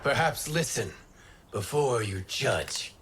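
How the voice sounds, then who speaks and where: An actor playing a measured, formal wind god in a dramatic fantasy video game. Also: male voice, medium pitch, very animated. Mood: earnest, urgent. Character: calm, earnest wind god pleading with his brother. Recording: game soundtrack music behind the voice.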